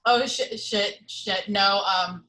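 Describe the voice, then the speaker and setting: A man's voice: high-pitched, fairly even intonation, slow pace, pushed and bright, exaggerated voice, low-quality recording. Woman reading awkward, decent young guy. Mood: flustered.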